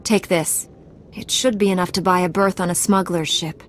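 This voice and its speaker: An actress playing a stern, calm female Jedi knight who speaks in a composed, commanding way. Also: lowish voice, moderate pace, faint music behind, close to an everyday voice. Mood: firm. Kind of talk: video game cutscene.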